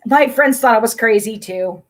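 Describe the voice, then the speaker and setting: A chatty, upbeat middle-aged woman from Pennsylvania hosts a casual interview. Her voice sits a little high, and she laughs easily as she tells stories. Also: normal speed. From Pennsylvania, USA. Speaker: host.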